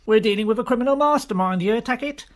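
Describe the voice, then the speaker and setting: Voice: medium pitch, quick pace, close to an everyday voice. Dry, weary police inspector played by an actor. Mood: dry sarcasm. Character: weary, exasperated police inspector questioning a witness, male. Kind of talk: stage-style radio murder mystery acting.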